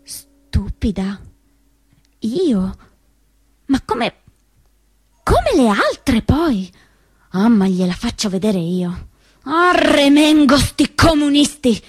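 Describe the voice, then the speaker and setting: An actress playing a spirited, naive young Italian partisan girl in an animated, emphatic theatre monologue. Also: fairly high pitch, extremely slow, pauses often, breathy edge, no echo, studio quality, exaggerated voice. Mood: indignant. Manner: animated, emphatic, swinging between hushed narration and exclamation.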